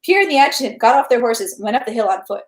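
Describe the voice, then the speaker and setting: A woman reading a novel aloud as narration, in an animated, expressive way. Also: on the high side, rapid-fire. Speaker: read-aloud host. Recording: echoey room.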